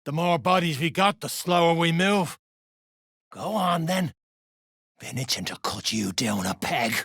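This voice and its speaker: An actor playing a rough, irritable duergar dwarf fighter in a video game, husky and coarse, speaking in blunt orders. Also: medium pitch, expressive, a little slow, a bit bright, no room sound, clean studio sound, heavily acted. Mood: gruff, wary. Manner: coarse, clipped orders in dialect. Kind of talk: video game character voice acting.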